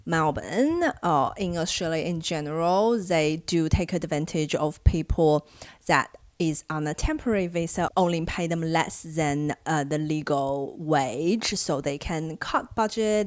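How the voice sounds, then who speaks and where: Lowish-voiced young woman vlogger telling her story. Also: measured pace, dry recording. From China. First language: Chinese. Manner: animated when acting out past conversations. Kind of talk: casual storytelling to camera.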